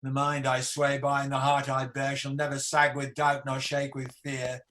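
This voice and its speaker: A man's voice: mid-range voice, completely flat delivery, fairly bright tone, a little hiss or hum, natural voice. An amateur actor playing an ageing, embattled tyrant king in a Shakespearean radio play, declamatory, defiant and world-weary. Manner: declamatory, slow, theatrical.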